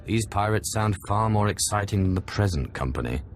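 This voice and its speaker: A man playing a cool, sardonic dark lord in a video game, with dry, wry confidence. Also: fairly low pitch, expressive, fairly quick, slight background noise, lightly acted. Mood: wry mockery. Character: cool, sardonic dark Sith inquisitor, master of ghosts.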